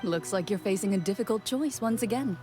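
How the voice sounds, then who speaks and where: A woman's voice: mid-range voice, over loud music, lightly acted. An actress voicing a composed woman narrating as game master in a video game. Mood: calm. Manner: measured, calm storyteller.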